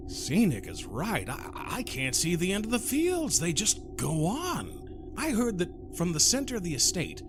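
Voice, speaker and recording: A man playing a smooth-talking, nervously polite machinery salesman in an audio drama, flattering and a little hesitant. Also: sits high, a little slow, over music, heavily acted. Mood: impressed.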